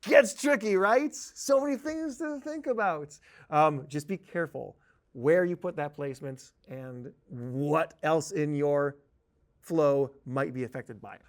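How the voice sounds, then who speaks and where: Male training instructor, somewhat higher pitched, conversational tutorial delivery, explains step by step and leans on important words. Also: a little slow. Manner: explanatory, cautionary, stressing key words. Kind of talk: conversational tutorial narration over a software demo.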